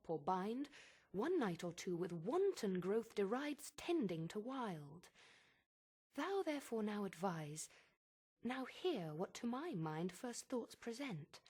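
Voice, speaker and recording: Gentle reasoning wife, husky, unhurried, earnest, measured dramatic poetry. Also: female voice, mid-range voice. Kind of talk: slow dramatic verse reading.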